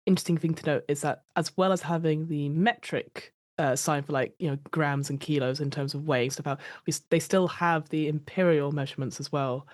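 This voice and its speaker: A woman's voice: low-pitched, steady tone, a little fast, few pauses, dry recording, clean studio sound. A woman playing a tabletop roleplaying game, talking casually in hesitant, rambling sentences. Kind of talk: casual improvised game talk.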